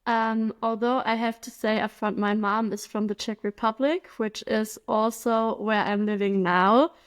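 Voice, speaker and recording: A woman's voice: on the high side, steady tone, unhurried. A woman in her twenties from Germany, a guest telling her story in a casual interview, chatty, with frequent ums and little laughs. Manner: chatty, laughs often, many ums and likes.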